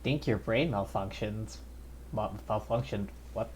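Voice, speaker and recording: A man's voice: fairly low pitch, a little slow, a little mellow, a little hiss or hum. A man doing casual live game commentary as a streamer, with offhand, wry reactions.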